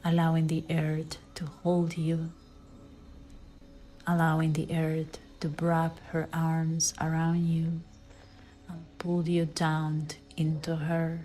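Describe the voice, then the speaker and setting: A woman leading a guided meditation in a soft, soothing way, with phrase endings that fall into breathy near-whispers. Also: deep voice, completely flat delivery, slow, poor audio. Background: background music.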